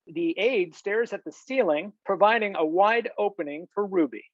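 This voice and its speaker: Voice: medium pitch, lively intonation, moderate pace, dry recording, highs cut off. A narrator reading screenplay stage directions for a table read over a video call.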